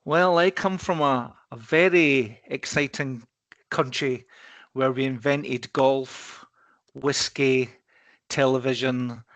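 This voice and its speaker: A man's voice: medium pitch, fairly even intonation, takes it slowly, a bit bright, no room sound, highs cut off. A man in his sixties with a Scottish accent, a podcast guest telling his career story with dry, self-mocking humour and some hesitant restarts. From Scotland. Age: 60s. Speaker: guest, risk partner. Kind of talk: relaxed storytelling interview.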